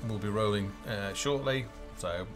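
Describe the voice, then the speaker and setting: A man's voice: fairly low pitch, unhurried. A man hosting a casual game developer live stream, talking off the cuff in a hesitant, rambling way as he thinks aloud. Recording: music playing behind the voice.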